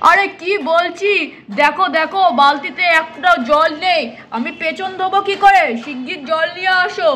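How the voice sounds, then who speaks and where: Woman putting on a high-pitched grumpy old grandpa. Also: moderate pace, a bit bright, a little hiss or hum. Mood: scolding. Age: older. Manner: scolding, barking orders. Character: grumpy, bossy old father-in-law doll, a grandfather. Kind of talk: puppet doll cartoon acting.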